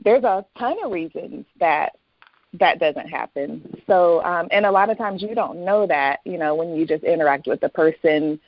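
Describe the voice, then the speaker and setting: A woman's voice: fairly low pitch, moderate pace, muffled recording, a little hiss or hum. A woman speaking as a guest author in a casual interview, explaining things in a conversational way.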